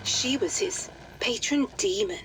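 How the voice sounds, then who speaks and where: An actress voicing a composed, authoritative aristocratic lady who gives formal, measured mission briefings in a game. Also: a little high, a bit bright, a little hiss or hum, low-quality recording. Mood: grave briefing. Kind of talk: dramatic game cutscene briefing.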